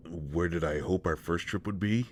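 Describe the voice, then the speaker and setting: A man playing a gentle, awkward, devoted companion in an intimate one-sided roleplay audio drama, speaking in a halting, stammering way. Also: sits low, a little hiss or hum, natural voice. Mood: sheepish, wry.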